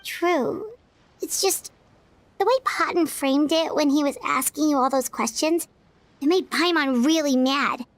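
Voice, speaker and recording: A tiny, chatty fairy sidekick from a fantasy game, with a very high, childlike voice. She is blunt and emotional and calls herself by name. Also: unhurried. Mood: indignant. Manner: refers to herself in the third person, chatty and frank. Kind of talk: game cutscene voice acting.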